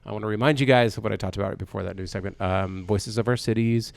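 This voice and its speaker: A man's voice: on the low side, fairly even intonation, fast talker, gravelly, dry recording. A man in his forties hosting a studio interview, joking and rambling with lots of fillers and restarts. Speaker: podcast host. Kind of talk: casual interview chat.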